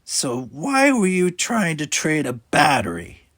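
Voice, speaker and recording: A man's voice: a little high, wildly swooping pitch, slow, fairly bright tone, no room sound, voice pushed up, heavily acted. A man plays a menacing female alien pirate captain from a sci-fi audiobook. She is scornful and demanding, drawing out her words in a raised, mocking voice. The sound is dry and close. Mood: suspicious. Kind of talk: audiobook character voice.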